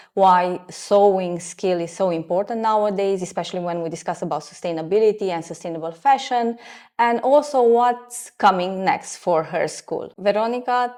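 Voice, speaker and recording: Woman interview host, earnest, long questions, low-pitched voice. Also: darkish tone. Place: sewing studio.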